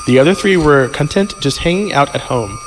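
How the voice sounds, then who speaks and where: A man's voice: a little high, animated, a little fast, big-room sound, slight background noise. A man chatting and reading a story aloud casually as a podcast guest, joking and digressing into asides. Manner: joking, digressive, repeats himself.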